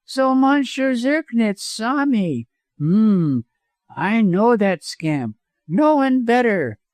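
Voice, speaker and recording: Man putting on a venomous, high-pitched cowardly lawyer villain. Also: sing-song, slow, darkish tone, dry recording, studio quality, heavily acted. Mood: smug, defiant. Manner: snarling, gasping, harsh, chuckling.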